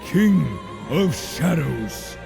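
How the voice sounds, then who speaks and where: A man's voice: on the high side, animated, extremely slow, gravelly, pitched above the performer's own voice, full character voice. A man voicing a solemn, menacing video game boss announcer, narrating in a portentous, dramatic way. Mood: grand, menacing. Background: dramatic music.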